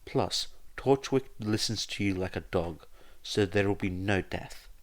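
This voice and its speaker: A man's voice: low-pitched, a little slow. A man voicing a blunt, commanding male scientist in an audio story, speaking with urgent exclamations.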